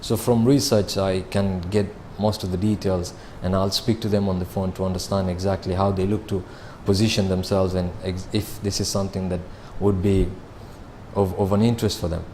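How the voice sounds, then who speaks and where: A man, answering interview questions about his sales job in a measured way, with frequent fillers and restarts. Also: deep voice, level tone, darkish tone, a little hiss or hum. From Kenya. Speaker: sales manager.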